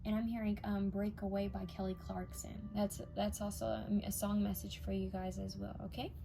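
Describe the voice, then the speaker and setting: Gravelly-voiced woman tarot reader. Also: medium pitch, level tone, normal speed. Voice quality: slightly raspy from many readings. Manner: intuitive, addresses viewers directly, drops to whispers while reading cards. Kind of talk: casual pick-a-card tarot reading.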